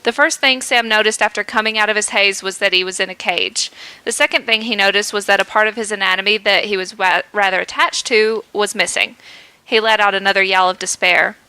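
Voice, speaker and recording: A woman's voice: medium pitch, steady tone, fairly quick, bright, forceful tone, clear, resonant tone, no room sound. A young woman co-hosting a podcast, reading fan fiction aloud and giggling often. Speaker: podcast co-host.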